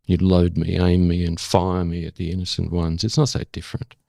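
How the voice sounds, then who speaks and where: An older Australian man with a gravelly voice, speaking as an interview guest and former correspondent. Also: low-pitched, completely flat delivery, a little fast, mellow, no room sound, clean studio sound. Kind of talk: dramatic spoken-word recital of own prose, then reflective conversation.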